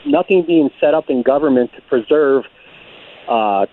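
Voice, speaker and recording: An American man calls in to a radio show over a tinny phone line. His voice sits at a middle pitch, and he words his question haltingly, sounding concerned. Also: expressive. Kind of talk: unscripted call-in question.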